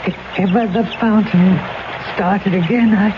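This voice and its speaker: A woman's voice: mid-range voice, fairly even intonation, a little slow, close to an everyday voice. An actress playing a bewildered, frightened woman who falters and stammers in a 1970s radio drama. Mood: bewildered fear. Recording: dull, clearly noisy radio recording.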